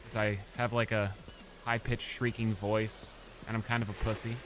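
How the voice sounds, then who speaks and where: A male streamer chatting casually with his live chat while playing a game, swinging from mumbled asides to loud outbursts. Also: on the low side, little pitch movement, normal speed, music in the background. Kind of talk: casual gaming livestream chat.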